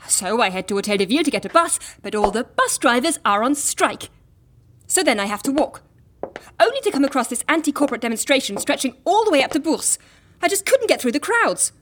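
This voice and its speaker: A woman's voice: high voice, very animated, a little fast, slight background noise. An actress playing a flustered, put-upon woman with an exasperated, complaining manner, in an audio drama.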